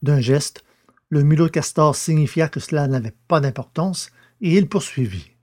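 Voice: mid-range voice, normal speed, darkish tone. A narrator reading scripted story narration between lines of dialogue in an audio drama.

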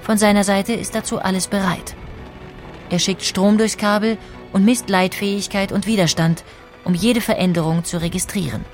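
A woman's voice: medium pitch, steady tone, normal speed. A woman reading scripted documentary narration as the narrator. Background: music.

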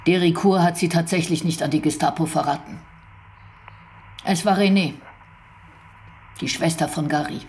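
A woman's voice: low voice, moderate pace, long pauses, a little mellow. An actress playing a sober female investigator recounting events in a radio drama. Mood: sober, reflective. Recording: clear background noise and ambience.

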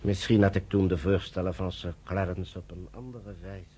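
An actor in a radio play, playing a troubled, conscientious British general, grave and measured, with a husky voice. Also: male voice, low-pitched, steady tone, dark tone, slight background noise, close to an everyday voice. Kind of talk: Dutch radio play acting.

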